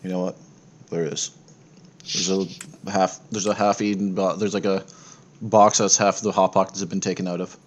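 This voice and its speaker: A man's voice: deep voice, flat delivery, moderate pace, pauses often, highs cut off, a little hiss or hum. A man working as game master, narrating a tabletop game in a casual, improvised way.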